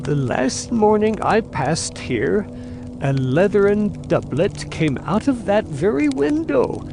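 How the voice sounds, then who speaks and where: A man voicing a plain-spoken, helpful woodman in a fairy tale, with a folksy, deliberate manner. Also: high voice, very animated, creaky, music in the background, pitched above the performer's own voice, exaggerated voice. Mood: helpful, unhurried.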